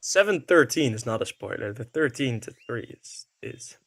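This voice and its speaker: A young man whose first language is Dutch, speaking with a Dutch accent as he gives relaxed play-by-play commentary, thinking aloud with many fillers and restarts. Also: mid-range voice, moderate pace, creaky. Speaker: esports caster.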